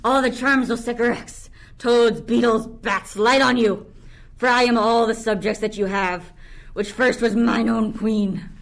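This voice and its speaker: A woman's voice: fairly high pitch, fairly even intonation, a little slow, creaky. Young woman as bitter, cursing island monster. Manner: declamatory, resentful. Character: Caliban, bitter cursing enslaved island monster, witch's offspring. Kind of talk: radio play, Shakespearean verse.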